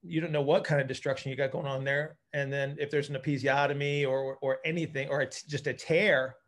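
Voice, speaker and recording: A man's voice: mid-range voice, steady tone, a little fast, fairly bright tone. A middle-aged man explaining technical ideas informally as a coach on a video call, repeating words as he thinks aloud. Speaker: coach and physical therapist hosting a group call. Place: indoor room at home, group video call.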